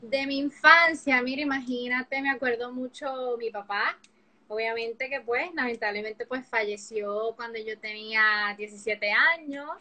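A bubbly woman from Puerto Rico with a Puerto Rican Spanish accent, telling stories in a high, bright, sparkling voice. She chats with lively energy from her living room over a laptop video call. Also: measured pace, poor audio. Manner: bubbly, laughs often, animated storytelling. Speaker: guest singer and actress. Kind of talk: casual video-call interview. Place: living room with couch, indoors. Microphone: laptop or phone camera on video call.

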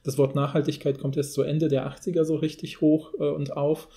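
A man's voice: fairly high pitch, level tone, a little fast, dark tone. A man in a casual analytical podcast discussion, talking in a rambling, academic way.

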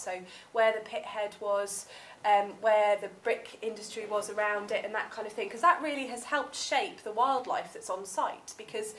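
A woman's voice: medium pitch, a bit bright, a little hiss or hum, poor audio. Chatty southern English woman lecturing. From southern England. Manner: chatty, many ums, gestures while speaking. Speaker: academic researcher presenting. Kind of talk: conference talk with slides. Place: seminar room with projector screen. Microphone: far camera, room audio.

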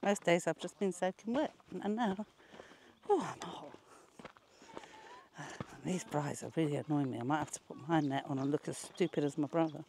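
An older woman talks into a wearable camera while walking a hot bush trail, out of breath and chatty. She teases her companion and grumbles about the heat and the flies. Outdoor noise is clearly audible behind her voice. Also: deep voice, normal speed, pauses often, mellow. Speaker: travel vlogger. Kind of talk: casual vlog narration while hiking. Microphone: wearable action camera.

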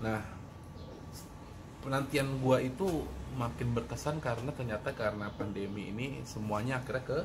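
A man's voice: medium pitch, fairly even intonation, normal speed. Chatty man, noisy porch. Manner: slangy, chatty, many fillers and restarts. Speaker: motorcycle vlogger. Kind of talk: casual review chat. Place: covered porch outdoors. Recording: noisy outdoor ambience.

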